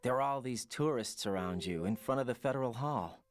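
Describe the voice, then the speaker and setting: A man's voice: medium pitch, lively intonation, a little fast, close to an everyday voice. An actor playing an earnest young male operative talking over a radio link. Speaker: soldier operative.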